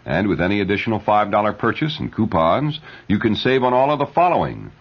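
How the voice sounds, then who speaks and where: A man reading news and ads as a radio newscaster in a measured 1970s announcer style. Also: lowish voice, very animated, breathy edge. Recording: dull, lo-fi 1970s radio broadcast recording.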